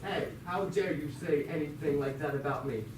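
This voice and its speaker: A man's voice: a little high, normal speed, close to an everyday voice. Bossy revolutionary leader played by a man, distant. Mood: indignant. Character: bossy, menacing male French revolutionary leader. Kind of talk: amateur puppet show acting. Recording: echoey, noisy, distant mic.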